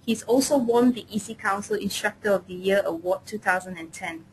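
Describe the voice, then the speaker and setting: A woman with a medium-pitched voice hosts a webinar and gives a formal, prepared introduction. There is faint noise with a low rumble behind her. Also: brisk. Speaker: webinar host introducing the trainer. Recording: noisy line with low rumble.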